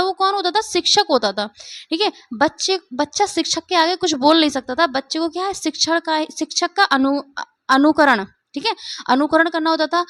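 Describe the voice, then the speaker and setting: A woman teacher with a hoarse voice, giving an exam-prep lecture and often checking that students follow. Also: sits high, a bit bright, dry recording. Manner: repeats points, keeps asking "ठीक है?".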